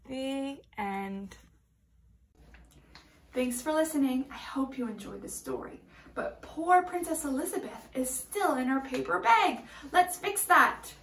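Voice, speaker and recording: A woman's voice: high-pitched, expressive, slow, a bit bright, echoey room, slight background noise. A woman teacher reading a storybook aloud expressively and prompting young listeners with questions.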